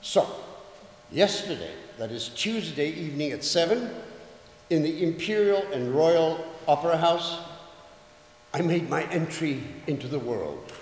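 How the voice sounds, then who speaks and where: An older man in his seventies, a Polish speaker too, giving a measured, theatrical formal reading on stage. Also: fairly high pitch, lively intonation. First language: English and Polish, Polish first language. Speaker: actor and reader in a recital. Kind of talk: formal dramatic reading of letters. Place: concert stage in a hall. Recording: echoey hall with audience noise.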